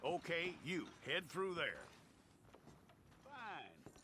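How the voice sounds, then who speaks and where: An actor playing a weary, grudging sheriff in a video game, speaking in a gravelly drawl. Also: male voice, fairly high pitch, wildly swooping pitch, drawn-out words, background noise, exaggerated voice. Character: weary, grudging middle-aged male sheriff.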